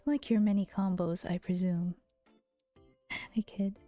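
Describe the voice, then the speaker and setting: A woman streamer chatting casually in a soft, gentle, often half-whispered voice, with playful teasing and giggles, speaking close to the mic. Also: mid-range voice, steady tone, slow, no room sound, faint music behind. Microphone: close to a regular mic.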